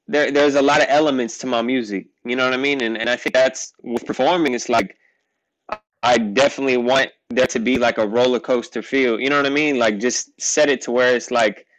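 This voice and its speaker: A man's voice: medium pitch, a bit bright, no room sound, low-quality recording. Casual young man, native Portuguese speaker. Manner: loose, rambling, says "like" and "you know what I mean" a lot. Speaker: rapper guest. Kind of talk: casual interview chat.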